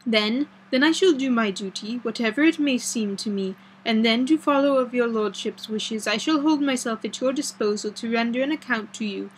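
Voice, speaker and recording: A resolute, earnest old doctor from a gothic audiobook as a woman narrator plays him. The phrasing is formal and a little broken, solemn and imploring. The voice sits fairly high over faint noise. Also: moderate pace, hardly any pauses, natural voice, poor audio. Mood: stern, solemn.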